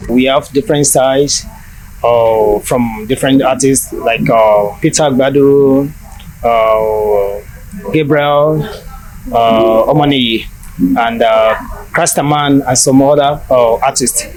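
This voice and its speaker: A man's voice: mid-range voice, darkish tone, a little hiss or hum, low-quality recording. A man with a Nigerian accent speaking hesitantly as a gallery owner in an interview. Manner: hesitant, halting.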